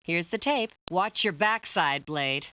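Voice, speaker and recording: A woman's voice: fairly low pitch, expressive, slow pace, pushed and bright, a little hiss or hum, full character voice. Busy police lab woman for a video game, snippy, sarcastic, voice swings up and down. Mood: wry, cautioning. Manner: terse, sarcastic, exasperated. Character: busy, brusque female police lab and records technician. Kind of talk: scripted video game acting.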